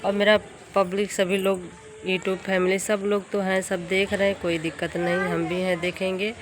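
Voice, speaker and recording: A woman's voice: medium pitch, level tone, moderate pace. A woman chatting casually in a reassuring, plain-spoken way. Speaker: supportive older friend of the vlogger. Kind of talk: casual chat. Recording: noisy live room sound.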